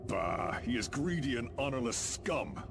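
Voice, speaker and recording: A man playing a hot-blooded space marine commander in a video game, forceful and vengeful. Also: medium pitch, very animated, unhurried, noisy background. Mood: contemptuous. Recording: voice under loud music.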